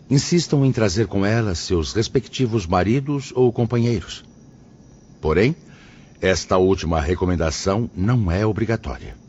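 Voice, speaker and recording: A man's voice: sits low, a little mellow. A man playing a kindly, solemn spirit master in a Brazilian audio drama, speaking formally and paternally with a Brazilian accent. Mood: calm authority. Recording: muffled, noticeable background hiss.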